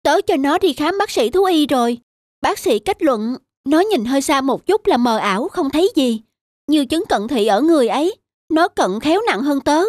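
Woman voicing an earnest cartoon girl, high voice. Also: animated, normal speed, a little mellow, dry recording. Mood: earnest, concerned. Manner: explaining earnestly. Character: young bespectacled girl, concerned pet owner. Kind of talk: cartoon voice acting.

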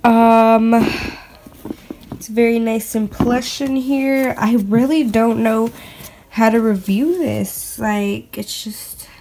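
A woman giving a casual, unscripted product review in a hesitant, halting, informal way, recorded on a handheld device in a bedroom. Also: a little high, darkish tone. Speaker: sneaker reviewer. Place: bedroom at home. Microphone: handheld phone or camera. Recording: clicks and light background noise.

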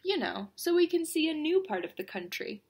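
Woman doing a polite, high-voiced storybook character. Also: sing-song, normal speed, a little mellow. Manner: polite, courteous, chirpy. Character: very polite, eager storybook state proposing an idea, Idaho.

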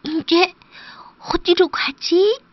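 Woman as a high, plaintive little duckling. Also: extremely slow, no room sound, dull audio, full character voice. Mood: lost, forlorn. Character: sad, lost little ugly duckling. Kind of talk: children's storybook character voice.

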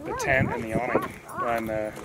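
A man's voice: mid-range voice, huge pitch swings, normal speed. A man in his thirties casually explaining his own build, recorded outdoors by a camera a few steps away. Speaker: guest showing his trailer build. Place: outdoors in a dirt yard. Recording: loud outdoor noise, off-camera voice.

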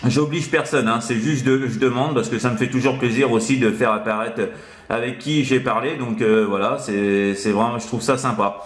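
A man's voice: mid-range voice, fairly even intonation, moderate pace. Roomy-sounding man chatting on amateur radio. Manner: hesitant, tags sentences with "hein". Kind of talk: casual radio contact chat. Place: indoors in an apartment, at a radio set.

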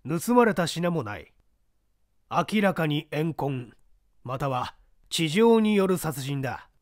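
A man reading a mystery aloud, playing a seasoned older investigator who explains the case in a measured, testing way. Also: a little high, sing-song, no room sound, clean studio sound. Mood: explaining.

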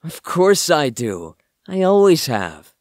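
A man playing a bookish young misfit and loyal son in an audio drama, earnest and expressive. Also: on the high side, takes it slowly, no room sound, studio quality. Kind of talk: amateur audio drama acting.

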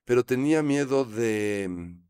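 A Mexican man in his fifties, a guest on a studio interview, telling stories in a relaxed, reflective way, speaking into a close studio microphone. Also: mid-range voice, unhurried. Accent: Mexican Spanish. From Mexico City. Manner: reflective, gestures while talking, frequent fillers. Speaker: actor guest. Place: small studio set. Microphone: studio mic on boom arm.

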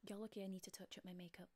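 A young woman playing a domineering, sarcastic high-school queen bee in a soft-spoken, often whispered ASMR roleplay, close on the mic. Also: flat delivery, fairly quick, no echo, lightly acted. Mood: smug, dismissive. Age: young adult.

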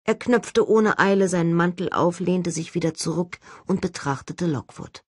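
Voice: medium pitch, normal speed, darkish tone, husky, no echo, clean studio sound. An audiobook narrator reading a novel expressively in a scripted literary style.

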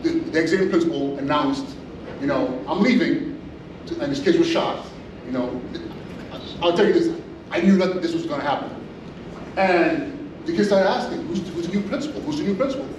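A man's voice: on the high side, moderate pace. Echoey middle-aged man presenting. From Inglewood, California. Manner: animated storytelling with many restarts and fillers. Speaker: school principal. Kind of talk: conference presentation. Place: large hotel meeting room. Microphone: far camera, no mic. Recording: echoey room from far off, audible noise.

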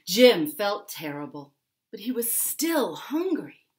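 A woman in her forties narrating a children's story expressively, with dramatic pauses and emphasis. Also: medium pitch, big pitch swings. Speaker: picture-book author reading aloud. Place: small furnished room at home, indoors.

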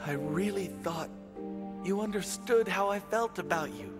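A man's voice: high-pitched, a little slow, in a large hall. A man playing an earnest, hot-blooded young hero in an English anime dub, speaking with emphatic feeling. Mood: hurt, sorry. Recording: music behind the voice.

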